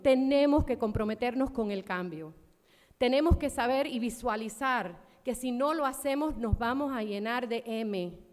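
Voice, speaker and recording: A woman executive giving a conference presentation, speaking passionately and emphatically, with frequent fillers and restarts. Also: fairly high pitch. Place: conference hall. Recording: long hall reverb.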